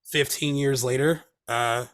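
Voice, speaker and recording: A man's voice: medium pitch, fairly even intonation, measured pace, fairly bright tone. A man co-hosting a movie podcast in casual chat, rambling with many fillers and restarts, his voice recovering from laryngitis. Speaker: podcast co-host. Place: Hermosa Beach, California.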